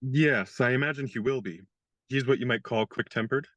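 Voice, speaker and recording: An actor in a radio play playing a young gentleman disguised as a valet, speaking in a dry, good-humored, polite way. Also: mid-range voice, moderate pace, dry recording, lightly acted. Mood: wry.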